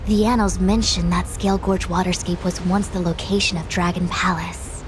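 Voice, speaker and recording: A woman's voice: on the low side, flat delivery, normal speed, noisy background, natural voice. Woman as poised, dignified fantasy commander lady. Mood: serious, composed. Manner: formal, poised, serious. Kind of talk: dramatic fantasy video game dialogue.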